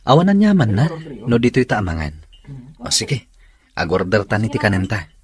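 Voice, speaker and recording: A man's voice: fairly low pitch, wildly swooping pitch, normal speed. A man playing a reluctant, thrifty young working boyfriend in an audio drama, brushing off requests. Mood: dismissive.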